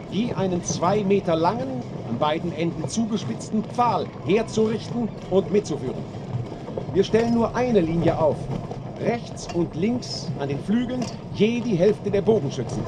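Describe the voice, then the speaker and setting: An actor playing an army officer in a radio play, briefing in a precise, military manner over outdoor noise. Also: male voice, high voice. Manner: precise, military, matter-of-fact. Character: English army officer briefing the king's battle plan. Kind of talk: radio play field report. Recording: clear outdoor ambient noise.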